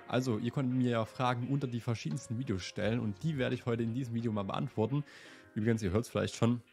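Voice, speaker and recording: A man in his twenties with a slight cold, hosting a relaxed question-and-answer vlog in a chatty, easygoing way. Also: medium pitch, fast, faint music behind. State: slightly ill. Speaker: host. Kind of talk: casual Q&A vlog. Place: indoor room with plant wall and lit shelves.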